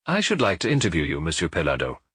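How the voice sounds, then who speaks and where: A man's voice: deep voice, wildly swooping pitch, quick pace, a bit bright, slightly rough, no echo, clean studio sound, pitched below the performer's own voice, full character voice. A man reading an audiobook, voicing a weary, earnest police chief who is troubled by pity. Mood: formal. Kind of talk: audiobook character dialogue.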